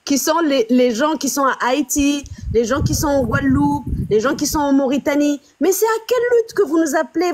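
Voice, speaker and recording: Fields: Sex: woman. Role: live stream host. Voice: high. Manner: animated, rhetorical questions to viewers. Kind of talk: casual commentary on clips.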